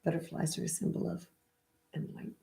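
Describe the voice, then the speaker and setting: A middle-aged woman in a gentle read-aloud style with casual, chatty asides and a halting, unhurried manner. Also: lowish voice, completely flat delivery, gravelly. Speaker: spiritual healer reading a picture book aloud.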